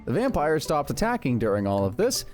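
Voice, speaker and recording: A man's voice: a little high, wildly swooping pitch, fairly quick, loud music behind the voice. Man as a wry young anime hero narrator. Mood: casual, bemused. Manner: casual, wry. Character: young male hero-healer adventurer, sardonic, easily flustered. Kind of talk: anime-style manga dub acting and first-person narration.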